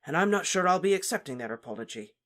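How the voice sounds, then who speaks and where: A woman's voice: fairly low pitch, lively intonation, fast, fairly bright tone, voice pushed up. A woman voicing a grown wizard who speaks abruptly and bitterly.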